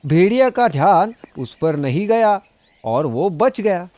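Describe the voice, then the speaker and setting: A man narrating a scripted children's story in an expressive, dramatic storytelling manner. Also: sits high, a little slow.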